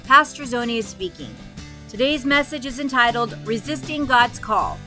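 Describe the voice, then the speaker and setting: A woman's voice: on the high side, normal speed, sharp tone, faint music behind, poor audio. A woman reading a formal, scripted introduction to a church service. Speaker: service announcer. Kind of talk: scripted announcement.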